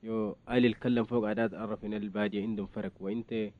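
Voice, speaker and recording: A man interviewing in a casual conversation, talking quickly with frequent restarts. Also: lowish voice, little pitch movement. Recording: dull lo-fi recording with faint noise.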